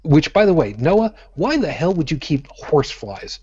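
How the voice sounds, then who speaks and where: A man's voice: a little high, very animated, moderate pace, lo-fi sound, noisy background. A man from co-hosting a live show in casual chat, blunt and profane. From Brooklyn, New York. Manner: blunt, jokey, profane, with many restarts. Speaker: podcast co-host.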